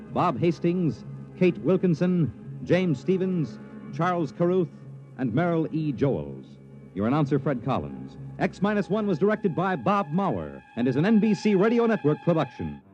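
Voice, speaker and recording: Dramatic American radio announcer, music behind. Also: male voice, fairly high pitch, very animated, moderate pace. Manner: dramatic, declamatory. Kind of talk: 1950s radio announcing, scripted. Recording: dull vintage radio broadcast, loud music.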